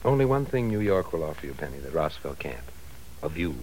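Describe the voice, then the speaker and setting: A gentle deep-voiced fiancé. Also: male voice, very animated, fast, low-quality recording. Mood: tender. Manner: tender, teasing. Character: young man Steve, a gentle reassuring fiancé. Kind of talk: 1940s radio acting. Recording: old radio transcription with faint hiss.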